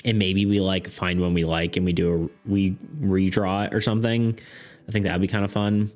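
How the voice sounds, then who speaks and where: A man's voice: sits low, flat delivery, a little fast, a little mellow. A young man streaming casually about art and game design, rambling with lots of fillers and laughs, saying he is losing his voice. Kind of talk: casual live-stream chat. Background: faint background music.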